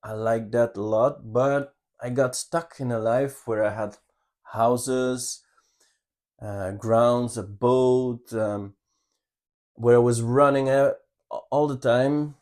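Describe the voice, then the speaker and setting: A man in his thirties with a Flemish Belgian accent talks in an unhurried, measured way. His delivery is earnest and halting, with frequent restarts, as if he is choosing each word with care. His voice sits at an ordinary middle pitch, and faint guitar plays behind him. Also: a little mellow. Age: 30s. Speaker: channel co-host. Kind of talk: personal life story, casual talk.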